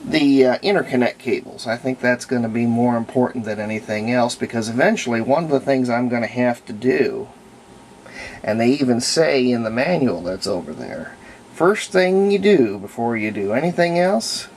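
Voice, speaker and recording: A man giving a casual, unscripted hands-on demonstration as a hobbyist restorer, thinking aloud in an unhurried way. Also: medium pitch, lively intonation, slight background noise. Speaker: hobbyist restorer of antique radios, presenter.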